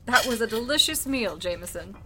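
A polite society lady from a vintage-style radio play, acted by a woman. Her voice is high and very lively in pitch. She speaks with genteel, expressive sociability. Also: brisk, background noise, close to an everyday voice. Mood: delighted. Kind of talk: old-time radio drama acting.

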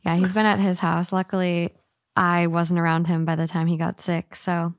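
A woman's voice: lowish voice, little pitch movement, normal speed, dry recording. Woman co-hosting a podcast, dry sound. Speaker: podcast co-host. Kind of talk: casual chat, reading listener letters.

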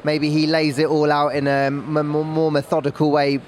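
A man's voice: fairly high pitch, completely flat delivery, moderate pace, a little mellow. A man giving conversational motor racing analysis as a pundit, speaking outdoors in a paddock. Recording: faint outdoor background noise.

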